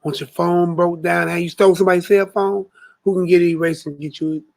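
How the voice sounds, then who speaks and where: Man, host, fairly high voice, animated, slangy, casual group talk. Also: fairly quick, creaky. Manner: animated, slangy, acts out scenes.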